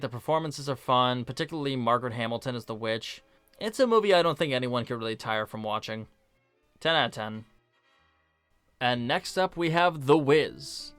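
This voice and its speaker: A man's voice: medium pitch, fairly bright tone, smooth. A man narrating a scripted film review as host, with casual, opinionated, conversational asides.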